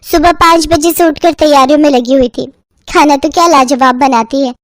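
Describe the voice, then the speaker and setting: A high-voiced young sister-in-law played by a woman narrator from a cartoon tale. She is busy and gushing, with a scheming streak, recorded very dry. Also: normal speed, no room sound, lightly acted. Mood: sweet, bustling.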